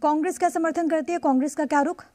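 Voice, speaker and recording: A woman's voice: sits high, steady tone, quick pace, dark tone. An Indian woman anchoring live television news, speaking emphatically and repeating key points over background music.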